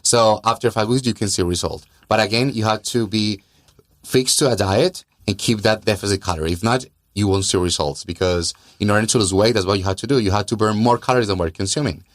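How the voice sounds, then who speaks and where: A man's voice: fairly low pitch, fast talker, no room sound, studio quality. A man from Colombia with a Colombian accent and native Spanish, explaining things earnestly as a guest in a conversational podcast interview, often repeating words for emphasis. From Colombia and South America. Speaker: interview guest, gym owner and fitness trainer.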